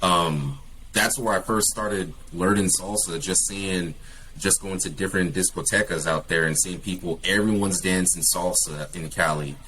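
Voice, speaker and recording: Young adult male guest, very deep voice, hesitant conversational delivery, faint room noise. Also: normal speed, bright, forceful tone, poor audio. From California. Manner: hesitant, many fillers and restarts. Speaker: guest, English teacher. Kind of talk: casual interview talk.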